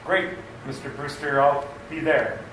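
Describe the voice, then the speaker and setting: A man acts out a persistent, folksy policeman from an old-time radio play. He is chummy and eager, with a slightly high voice. The sound is echoey and away from the mic. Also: fairly even intonation, measured pace, fairly bright tone. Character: eager, chummy male policeman and would-be playwright. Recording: distant, roomy, slightly noisy recording.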